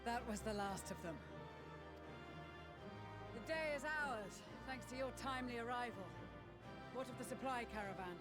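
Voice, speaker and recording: A resolute field commander in a video game. His voice is high, formal and clipped. Loud music runs behind him. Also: moderate pace, pauses often. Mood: brisk, resolute.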